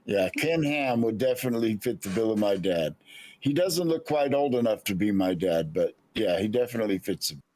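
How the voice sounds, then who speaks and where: Male player, lowish voice, dry humor, casual. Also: expressive, a little fast, a little mellow, no room sound. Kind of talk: casual play chat.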